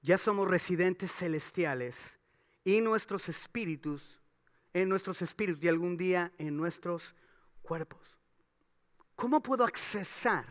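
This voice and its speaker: A man's voice: high-pitched, sharp tone, clean tone. A man preaching a sermon, asking the congregation questions and building to emphatic calls. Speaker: pastor.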